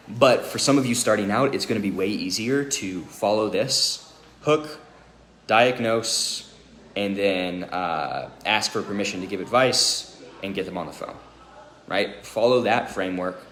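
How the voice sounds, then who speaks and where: A man's voice: fairly low pitch, unhurried, fairly bright tone. A man giving an informal sales training talk in a conversational, instructive way, often checking in with the listener. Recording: echoey room with faint noise.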